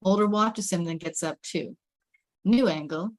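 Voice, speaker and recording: A woman's voice: fairly low pitch, a little slow. A woman narrating the scene and camera directions of a script at a table read. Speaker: stage directions reader.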